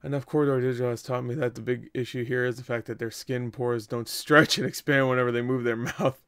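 A man's voice: mid-range voice, steady tone, fast talker, a bit bright, smooth. A young man giving casual reaction commentary, exclaiming, swearing and tossing in joking asides. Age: young adult. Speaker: reaction video host. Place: small indoor room at home.

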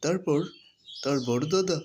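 Man, narrator, scripted storytelling, slightly high pitch, cartoon fable. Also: measured pace, darkish tone, slight background noise.

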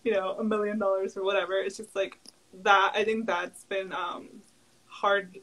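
A woman's voice: mid-range voice, level tone, normal speed, fairly bright tone, rough recording. A young woman whose first language is Spanish, a guest entrepreneur chatting casually over a video call, full of "like" fillers and easy laughs. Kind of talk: casual interview chat.

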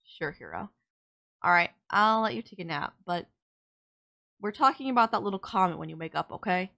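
A woman's voice: fairly low pitch, lively intonation, normal speed, creaky, pushed and bright, exaggerated voice. A woman playing a sardonic yet caring female villain in an audio roleplay, blunt, teasing and exasperated. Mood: concerned, earnest. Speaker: voice actor. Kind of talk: audio roleplay drama, intimate one-sided dialogue.

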